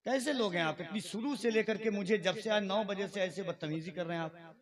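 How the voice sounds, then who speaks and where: Man, high voice, religious stage oratory, declamatory, admonishing. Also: brisk. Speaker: speaker at a religious gathering.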